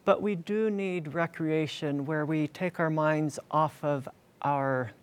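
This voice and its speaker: A man's voice: on the high side, steady tone, slow, smooth. A man speaking as an interview guest about his work, explaining things earnestly in a conversational way. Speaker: interview guest, ministry worker.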